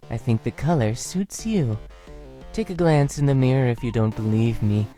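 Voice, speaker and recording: A man voicing a sadistic, blood-obsessed young man in a visual novel, speaking in a slow, gloating, purring way. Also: mid-range voice, animated, warm tone, faint music behind, natural voice.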